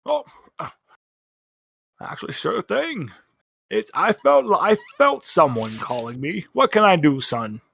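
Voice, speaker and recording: A man voicing a kindly, grandfatherly ancient eldritch god in a tabletop game, speaking haltingly. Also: high voice, very animated, vocal fry, a bit bright, slightly rough, no room sound, pitched above the performer's own voice, exaggerated voice. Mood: mildly surprised. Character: grandfatherly ancient eldritch deity, startled but obliging.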